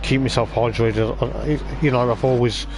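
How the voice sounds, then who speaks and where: A man with a voice going hoarse, giving rambling live race commentary on a stream, with lots of hesitant fillers. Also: medium pitch, fairly even intonation, moderate pace, background noise. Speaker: sim racing league commentator.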